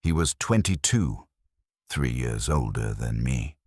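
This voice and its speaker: A man narrating a scripted first-person science fiction war story in a solemn, measured, clinical way. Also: extremely low pitch, animated, slightly rough, no echo, clean studio sound.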